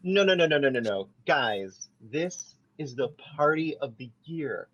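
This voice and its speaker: A man's voice: mid-range voice, sing-song, unhurried, fairly bright tone. A man reading the part of a vain young man in an amateur podcast table read, pleading and repetitive, on a dull lo-fi mic.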